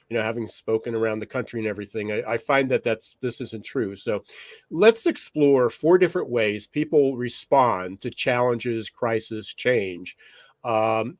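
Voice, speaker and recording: Slightly raspy man, dry podcast mic. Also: mid-range voice, no room sound. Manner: loose, with frequent fillers and restarts. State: slightly raspy from smoky air. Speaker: podcast co-host. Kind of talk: conversational podcast monologue.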